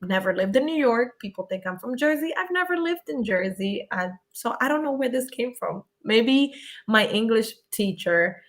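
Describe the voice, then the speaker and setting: A woman's voice: on the high side, lively intonation, moderate pace. A young woman from Connecticut whose first language was Spanish, chatting casually as she reads out and answers viewer questions on a live stream. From Connecticut, USA. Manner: chatty, reads comments aloud, laughs often.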